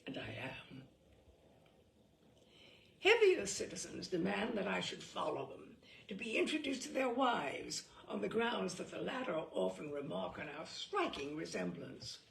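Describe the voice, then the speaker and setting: A woman's voice: medium pitch, sing-song, a little slow, gravelly, a bit bright, echoey room. A woman reading humorous essays aloud with dry, wry expressiveness. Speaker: reader.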